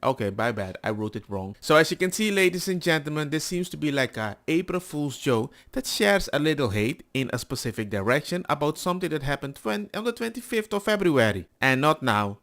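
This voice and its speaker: A man talks through reaction commentary with a sarcastic, mocking edge. His pitch swings widely and jumps high for mocking asides. He keeps an ordinary middle register the rest of the time. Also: fairly bright tone. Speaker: commentary channel host.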